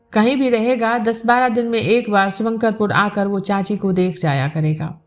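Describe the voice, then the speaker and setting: A woman narrating a village novel aloud as a storyteller, reading from a script. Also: fairly high pitch.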